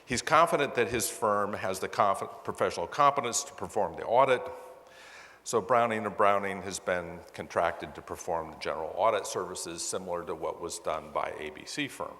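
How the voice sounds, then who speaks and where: A man with a very deep voice speaks in an echoey hall. He narrates as a presenter, laying out a case study in an explanatory style. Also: normal speed, pushed and bright.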